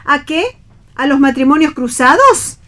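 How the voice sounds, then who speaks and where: A woman's voice: high-pitched, animated, a little slow, sharp tone, heavily acted. A woman with an Argentine accent playing a scandalized, flustered mother of a grown daughter in a radio play reading, full of reproach. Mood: indignant. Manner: indignant, reproachful exclamations and questions.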